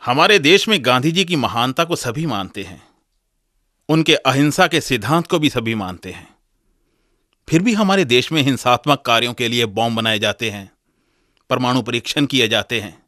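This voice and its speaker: A man plays a young adult son in a radio drama. His mid-pitched voice is closely recorded with no echo, politely probing and challenging his father. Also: expressive, moderate pace, sharp tone, studio quality. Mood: questioning. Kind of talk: Hindi radio drama acting.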